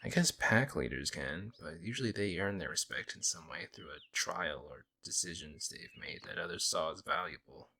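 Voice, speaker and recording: A man playing a friendly young werewolf, a lone wolf who talks casually and teases gently in an ASMR audio roleplay. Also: sits low, lively intonation, normal speed, vocal fry, hardly any pauses, slight background noise, full character voice. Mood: casual, musing. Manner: casual, hesitant musing, gently teasing. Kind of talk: ASMR audio roleplay, intimate conversational acting.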